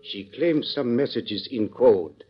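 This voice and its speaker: An actor playing a kindly, earnest mission padre with a Spanish accent in an old radio western. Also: male voice, medium pitch, lively intonation, slow pace, breathy edge, faint music behind. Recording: muffled vintage radio recording.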